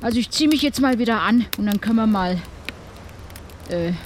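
A woman's voice: fairly high pitch, expressive, brisk, slight background noise, natural voice. A woman with a southern German accent playing a chatty, good-natured shipwrecked pirate in an improvised audio adventure. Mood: easygoing, chatty. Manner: chatty, colloquial.

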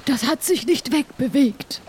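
A woman's voice: high voice, animated, measured pace, darkish tone, a little hiss or hum. A woman playing a sturdy, self-assured pirate crew member, matter-of-fact and insistent, in a role-play. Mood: confident, insistent.

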